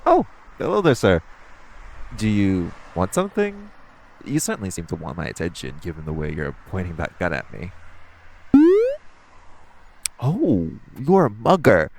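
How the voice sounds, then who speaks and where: Guy doing a cocky flirty supervillain thief for audio rp, lowish gravelly voice, really animated and teasing. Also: a little slow, pauses often, a little hiss or hum, heavily acted. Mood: amused, mocking. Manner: teasing, mock-polite, expressive. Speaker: audio roleplay performer. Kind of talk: theatrical audio roleplay, one-sided dialogue to the listener.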